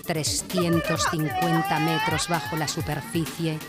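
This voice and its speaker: A woman narrates a radio drama in a low voice. The delivery is measured, solemn and dramatic, with prominent music behind it. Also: little pitch movement, a loud crowd. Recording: live radio theatre with loud music.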